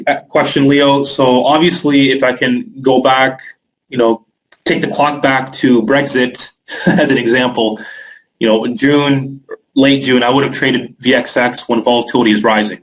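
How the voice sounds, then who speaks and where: Canadian man, young adult, webinar host, casual question-and-answer talk, mid pitch. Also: poor audio. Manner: casual, answers viewer questions by name, filler words. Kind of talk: live webinar Q&A.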